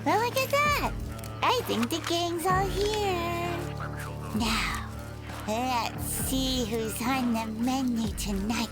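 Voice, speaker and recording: A bloodthirsty she-monster in a horror audio drama. The voice is very high and swings wildly in pitch, yet it lingers slowly over words in a taunting, sing-song, giggling way. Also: animated, loud music behind the voice. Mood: manic glee. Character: gleeful bloodthirsty female monster hunting with a wolf. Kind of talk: horror audio roleplay acting.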